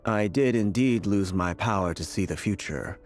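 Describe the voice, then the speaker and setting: An actor playing a weary, fatalistic blinded prophet in a video game, grave, deliberate and eloquent in his delivery. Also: lowish voice, close to an everyday voice. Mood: resigned, solemn. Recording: game dialogue with music behind.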